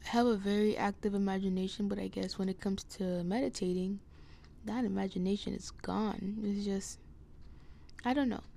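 Young woman with scratchy, creaky voice. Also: mid-range voice, fairly even intonation, normal speed, warm tone. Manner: hesitant, meandering. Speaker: podcast host. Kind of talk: casual rambling solo chat. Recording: noticeable background noise.

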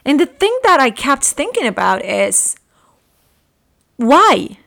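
A woman from Morocco in her late twenties hosting a podcast, telling a personal story in an earnest, halting way with frequent restarts. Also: high-pitched, very animated, gravelly, pushed and bright. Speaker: podcast host. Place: room at home.

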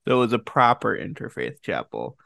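A man's voice: on the low side, very animated, a little fast, vocal fry, pushed and bright, dry recording, studio quality. A man hosting a casual interview, chatting in an informal way. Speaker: interviewer, host.

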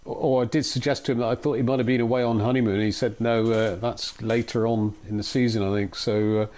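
A man's voice: medium pitch, level tone, a little fast, a little mellow. A man co-hosting a football podcast, dry and wry, moving the talk along from one segment to the next. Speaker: podcast co-host. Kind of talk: casual football podcast chat.

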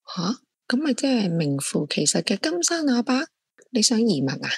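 Husky, nosy woman friend played by an actress. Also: mid-range voice, very animated, moderate pace, no room sound, clean studio sound, heavily acted. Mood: prying, incredulous. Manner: blunt, prying questions and exclamations. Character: nosy, blunt female friend prying into romances. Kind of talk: Cantonese audio drama acting.

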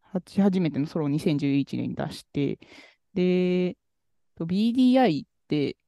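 Podcast co-host, young adult, lively pitch, dry close mic, chatty and hesitant. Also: normal speed, vocal fry, no echo. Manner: chatty, hesitant, trails off with fillers. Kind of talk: casual chat about music.

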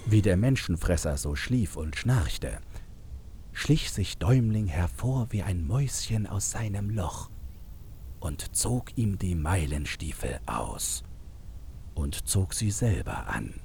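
Casting: male fairy-tale narrator, very low register, deliberate scripted storytelling at a slow pace. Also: very animated, vocal fry, mellow, a little hiss or hum.

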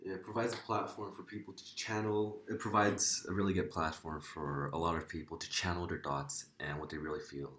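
A high school junior from Korea with an American accent, a guest chatting casually on a podcast. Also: male voice, fairly even intonation, a little fast, hardly any pauses, a bit bright, reverberant, slight background noise. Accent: American English. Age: teens.

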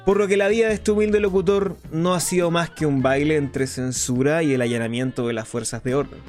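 An actor playing a flamboyant, sardonic underground radio host in a radio drama, talking in a grandiloquent, ironic, defiant way. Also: male voice, fairly high pitch, fairly even intonation, brisk, a little mellow, faint music behind, natural voice. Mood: mock-grateful, sardonic. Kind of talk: theatrical radio drama monologue.

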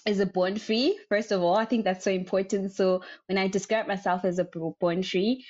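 A woman's voice: mid-range voice, fairly even intonation, normal speed, a little mellow. A young woman from South Africa, a guest answering interview questions casually, rambling with many ums. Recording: dull lo-fi sound.

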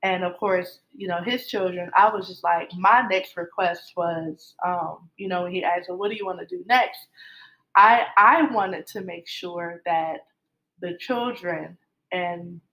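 A woman's voice: fairly low pitch, a little slow, fairly bright tone, low-quality recording. A middle-aged woman casually telling her love story, rambling with many fillers and restarts.

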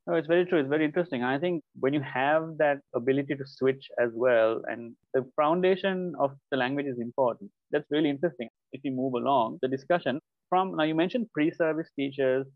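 A man from South Asia hosting an academic interview, affirming the guest and asking long, measured questions. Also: medium pitch, no echo. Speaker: podcast host. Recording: dry tinny phone-like line.

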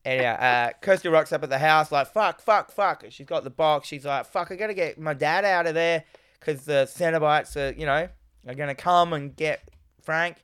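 A man getting over a cold, his voice sitting lower than usual, recapping a film in casual chat. He swears freely and acts out the characters' lines in quick voices. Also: fairly bright tone, smooth, no room sound, studio quality.

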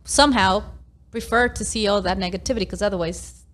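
A woman's voice: medium pitch, fairly quick, fairly bright tone, slight background noise. A young adult woman from Argentina and Ecuador, chatting casually as a guest, laughing often and filling gaps with ums. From half Argentinian, half Ecuadorian. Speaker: interview guest. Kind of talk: casual chat.

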